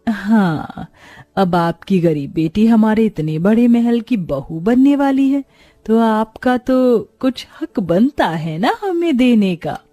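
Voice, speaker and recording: A woman's voice: fairly high pitch, expressive, slow pace, mellow, no room sound, faint music behind. A woman voicing a haughty, greedy rich mother of a groom in a story, demanding and condescending.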